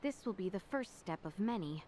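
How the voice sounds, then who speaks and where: A woman playing a lively-voiced determined noblewoman. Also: mid-range voice, normal speed, slight background noise, natural voice. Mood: earnest. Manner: earnest, composed. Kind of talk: video game voice acting. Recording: game cutscene with music behind.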